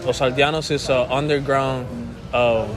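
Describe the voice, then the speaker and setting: A man's voice: mid-range voice, steady tone, moderate pace. A young man born and raised in Cuba, a musician talking casually as a guest, full of restarts and calling the host bro. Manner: casual, says bro a lot, rambling restarts. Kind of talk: casual interview chat.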